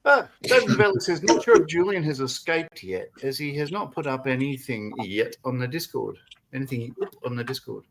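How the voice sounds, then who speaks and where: A man's voice: medium pitch, brisk, gravelly, a crowd in the background, low-quality recording. A man hosting a casual live stream, chatting freely, laughing often and reading viewer comments aloud. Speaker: stream host.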